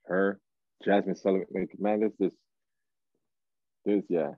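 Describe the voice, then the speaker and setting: A young man from Toronto, Canada, chatting casually as an interview guest, halting and repeating words as he thinks, over a video call. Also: low voice, steady tone, no room sound. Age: young adult. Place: indoor room at home, video call. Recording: narrow, phone-like video call audio.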